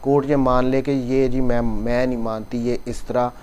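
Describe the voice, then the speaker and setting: A man's voice: mid-range voice, little pitch movement, normal speed, a little mellow, slight background noise. A man with a Pakistani accent giving an explanatory law lecture, mixing in English legal terms and carefully read passages. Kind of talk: explanatory law lecture, reading statute clauses and explaining them.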